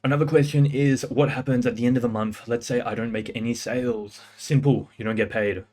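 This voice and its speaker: A man's voice: mid-range voice, fairly even intonation, brisk, darkish tone. A young man giving casual, confident sales-career advice in a punchy, slangy way. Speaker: music producer and sales coach. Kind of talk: casual talking-head advice.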